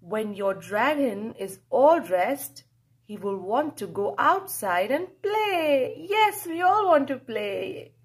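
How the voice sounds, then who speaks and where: A woman storyteller reads a picture book to young children in a high, playful voice. She takes her time, stretching key words for emphasis. Her delivery swings widely and expressively from line to line. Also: sing-song, slow, slightly rough. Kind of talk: animated picture-book read-aloud for children.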